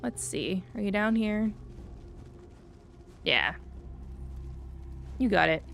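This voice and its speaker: A woman's voice: mid-range voice, animated, slow, gravelly, fairly bright tone, slight background noise. A woman livestreaming a game in a chatty, upbeat way, thinking aloud and reading out viewer comments. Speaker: livestreamer playing an online fantasy game. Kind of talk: casual gameplay chat, reading out viewer comments. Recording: faint game music behind the voice. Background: faint game music.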